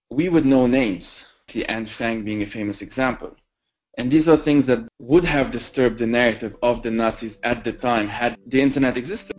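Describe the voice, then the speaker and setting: A young man speaks in a measured, reflective way in an interview. His voice sits at an ordinary pitch for a man. The sound is slightly dull and lo-fi. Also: darkish tone. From Lebanon. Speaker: editor. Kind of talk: interview commentary.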